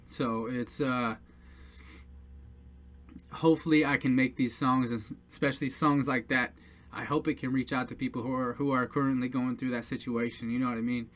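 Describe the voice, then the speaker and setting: A man's voice: medium pitch, fairly bright tone. Hesitant, rambling man introducing his music. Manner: hesitant and rambling, many fillers and restarts. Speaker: independent musician presenting his songs. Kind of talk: casual talk to camera.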